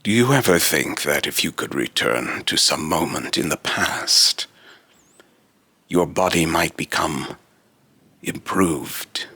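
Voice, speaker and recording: A man voicing a solemn, otherworldly immortal being in a deep, raspy robotic voice, with grandiose, archaic, halting phrasing in a dramatic monologue. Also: lively intonation, sharp tone, no echo, heavily acted. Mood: solemn, instructive.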